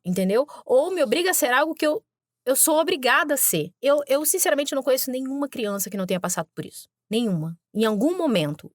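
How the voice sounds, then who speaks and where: A woman hosting a podcast talks over video clips she plays, sarcastic and emphatic in her commentary. Her voice sits at a middle pitch for a woman. The recording is close and completely dry, with no room sound at all. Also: dry recording. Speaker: podcast host.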